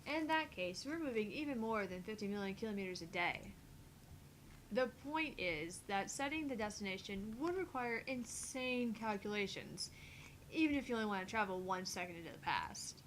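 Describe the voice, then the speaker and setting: A woman's voice: medium pitch, expressive, normal speed, a bit bright, a little hiss or hum, poor audio. A woman co-hosting a visual novel read-along, reading dialogue aloud with playful, casual banter and the odd stumble over long phrases.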